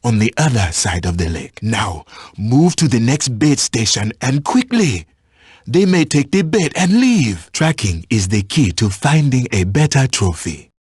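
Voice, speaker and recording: An actor playing a patient, watchful African safari tracker in a video game, guiding a hunter in measured, instructive phrases. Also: male voice, on the low side, darkish tone, breathy edge, no room sound, clean studio sound, exaggerated voice. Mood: urgent. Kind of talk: scripted video game voice lines.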